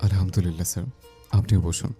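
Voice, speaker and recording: A man's voice: sits low, level tone, quick pace, warm tone, breathy edge, faint music behind. A man playing a polite, earnest young landlord's son in a romantic audio story, respectful and composed.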